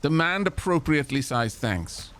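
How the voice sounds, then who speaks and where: A man's voice: fairly high pitch, very animated, normal speed, a bit bright, a little hiss or hum. Wry man streaming a game. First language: Welsh. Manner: chatty, wry, talks to chat. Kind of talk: casual gameplay commentary.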